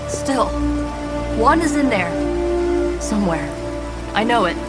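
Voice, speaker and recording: A woman's voice: fairly high pitch, animated, slow pace. A woman acting an emotional, stubborn young hero in a dramatic video game. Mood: desperate. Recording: music and effects behind.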